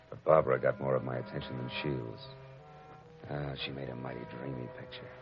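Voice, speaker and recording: A hard-boiled detective in an old radio play, talking low and deep with clipped, dry wit. The sound is dull and lo-fi, like an aged broadcast, with faint music underneath. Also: male voice. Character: hard-boiled male private detective, wry and tough. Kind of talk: 1940s radio drama narration.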